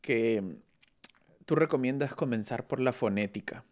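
Low-voiced woman podcast host. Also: a little slow, darkish tone. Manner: hesitant questions with restarts. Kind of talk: podcast interview.

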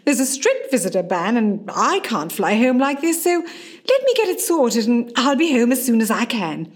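A woman's voice: fairly high pitch, very animated, moderate pace. A woman acting in a radio play as a witty, self-possessed famous actress in her forties who is a hospital patient. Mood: breezy, reassuring. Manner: wry, dry, theatrical and affectionate.

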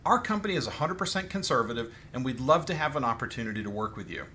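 A man reading an advertisement as an upbeat sales pitch. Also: expressive, fast talker, bright, forceful tone, slight background noise. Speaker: ad spokesman. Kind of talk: read advertisement.

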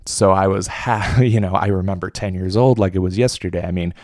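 A man's voice: low-pitched, fairly even intonation, fairly quick, a little mellow, no room sound, studio quality. A man in his late twenties hosting a casual solo podcast, rambling with lots of fillers and restarts, jittery from coffee. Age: late 20s. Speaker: podcast host.

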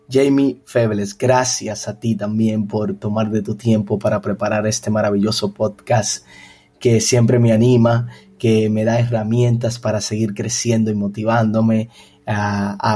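A man leaving a recorded voice message as a podcast listener, speaking earnestly and pausing often with fillers. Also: on the low side, warm tone, breathy edge.

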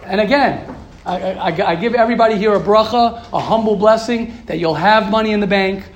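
A man's voice: high voice, brisk, echoey room, lo-fi sound. A man teaching a Torah class to a live group, emphatic and repetitive, asking rhetorical questions. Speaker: rabbi giving a class. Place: room with a live audience.

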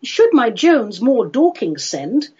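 A woman voicing a sorrowful, fretful married lady in a comic poetry recital, theatrical, plaintive and drawn-out. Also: on the high side, sing-song, darkish tone, breathy edge, heavily acted. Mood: apologetic. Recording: dull lo-fi recording.

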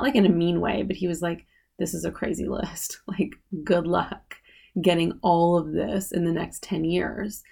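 A woman's voice: on the low side, vocal fry, darkish tone, breathy edge. A woman in her late thirties hosting a podcast, talking casually on her own and filling her speech with "like" and "you know what I mean". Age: 30s. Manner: chatty, many fillers like "like" and "you know". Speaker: podcast host. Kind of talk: casual solo podcast talk.

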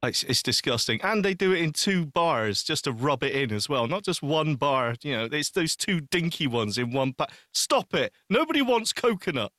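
A man's voice: a little high, big pitch swings, moderate pace, hardly any pauses, a bit bright, no room sound, clean studio sound. A man co-hosting a podcast, trading casual, jokey banter with emphatic quips. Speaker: podcast co-host.

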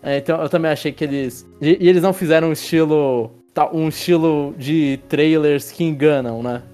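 A man's voice: on the high side, fairly quick, mellow, soft background music. A man hosting a casual film review podcast, rambling with restarts and tag questions as he leads the talk. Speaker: podcast host.

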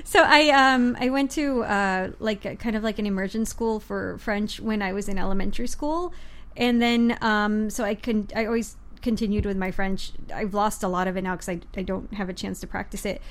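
A woman's voice: medium pitch, fairly even intonation, moderate pace. A woman from Miami chatting casually about learning languages, with many fillers and restarts. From United States (Miami). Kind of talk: casual chat.

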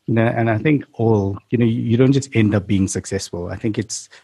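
Guy hosting an interview, mellow husky voice, rambling and chatty. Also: lowish voice, fairly quick. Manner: rambling, heavy use of "you know" fillers. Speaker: podcast host. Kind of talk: conversational interview.

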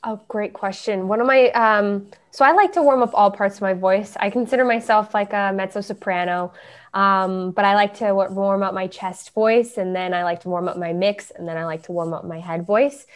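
A young woman speaking at an ordinary mid pitch, chatting loosely as an interview guest. She pads her answers with fillers and restarts now and then. Her tone is relaxed and conversational. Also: normal speed. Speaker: guest, Broadway performer.

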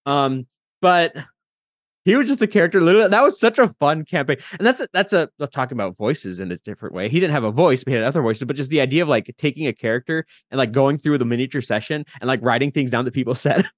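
A man chatting as a podcast guest, telling anecdotes in an animated, rambling way. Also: mid-range voice, fast, no echo, studio quality. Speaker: podcast guest and tabletop player. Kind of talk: casual chat, telling gaming anecdotes.

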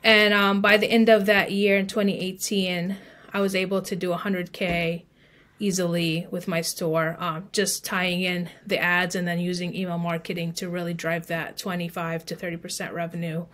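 A woman's voice: fairly low pitch, little pitch movement, normal speed, a bit bright. A woman speaking as an expert guest in an interview, telling her own story in an informal, explanatory way peppered with filler phrases. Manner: informal, explanatory, frequent "like" and "you know". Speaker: podcast guest, email marketing expert.

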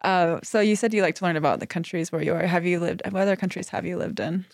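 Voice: mid-range voice, fast, vocal fry, no room sound, studio quality. A podcast host asking friendly, curious follow-up questions in a casual interview.

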